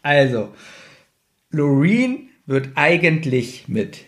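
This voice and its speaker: A man's voice: a little high, big pitch swings, slow pace. A man talking informally as a podcast co-host, retelling and commenting on a story. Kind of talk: casual chat, retelling and critiquing a story.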